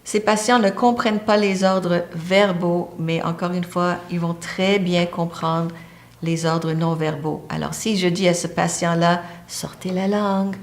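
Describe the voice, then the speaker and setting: Lady teaching a medical class, slightly low voice, explains things step by step. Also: fairly even intonation, unhurried. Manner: explanatory, points to a diagram. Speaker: medical lecturer. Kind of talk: teaching lecture.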